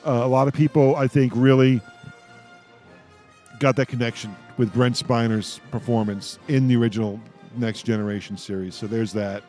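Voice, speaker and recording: A man in a casual roundtable fan discussion, halting and informal, often restarting mid-thought. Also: medium pitch, steady tone, warm tone, faint music behind.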